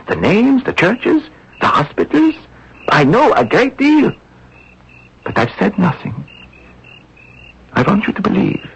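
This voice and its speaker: An actor playing an earnest, lovestruck young German soldier in a radio drama, pleading and halting. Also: male voice, a little high, vocal fry, a bit bright, slightly rough, heavily acted. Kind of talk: old-time radio drama acting. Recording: dull lo-fi radio broadcast recording.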